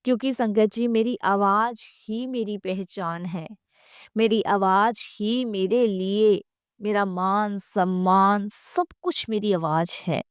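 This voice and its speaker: Female devotional narrator, slow and earnest, reverent delivery, close dry sound. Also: medium pitch, steady tone, clean tone, dry recording. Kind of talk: scripted devotional testimony narration.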